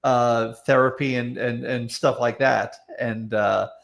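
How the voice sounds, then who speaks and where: A man's voice: mid-range voice, steady tone, slow, vocal fry. A man chatting casually and comedically as a talk show guest, often stammering and repeating words as he goes. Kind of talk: casual comedic panel chat.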